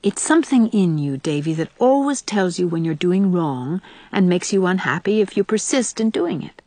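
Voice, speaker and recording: A woman voicing a patient, gentle young woman who softly soothes and admonishes a child in a storybook. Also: lowish voice, expressive, normal speed, no echo. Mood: gentle, serious. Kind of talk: audiobook character voice.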